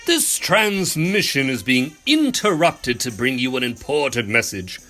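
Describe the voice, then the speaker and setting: A man's voice: mid-range voice, sing-song, unhurried, pushed and bright, soft background music. A man voicing a gleeful male clown villain as a theatrical announcer in a comedy podcast sketch. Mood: gloating. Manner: showy, sing-song announcer delivery.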